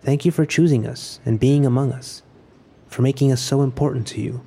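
A man acting a resigned, solitary narrator in scripted audio fiction, speaking in a measured, introspective way about his own final day. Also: medium pitch, fairly even intonation, creaky, mellow, husky. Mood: quiet resignation.

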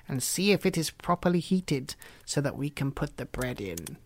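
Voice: medium pitch, big pitch swings, normal speed, a little mellow, dry recording. A reader voicing a wicked, cunning old witch who eats children, in a storybook reading, sly and mocking. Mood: commanding.

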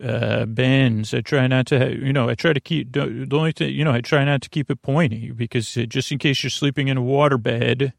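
A man with a creaky voice hosting a sleep podcast, meandering and halting as he restarts his phrases. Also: mid-range voice, fairly even intonation, no room sound, clean studio sound. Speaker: podcast host. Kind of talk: rambling sleep podcast monologue.

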